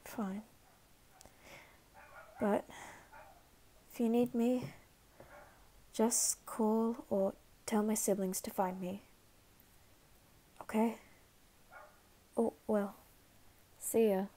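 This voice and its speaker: A woman voicing a flustered, guarded but caring teenage anime boy in an ASMR roleplay, halting and stammering, sometimes whispering. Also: a little high, steady tone, mellow. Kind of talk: ASMR roleplay, soft scripted acting.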